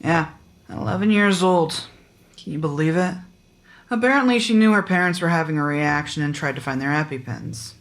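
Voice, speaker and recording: A woman's voice: low-pitched, moderate pace, lightly acted. A woman playing a blunt, skeptical small-town sheriff with a curt, demanding manner, in a naturalistic audio drama. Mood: grim. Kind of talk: naturalistic audio fiction podcast acting.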